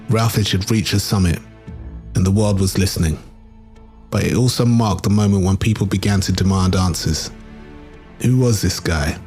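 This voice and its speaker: A man reading scripted documentary narration in a measured, dramatic storytelling manner. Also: sits low, a little mellow.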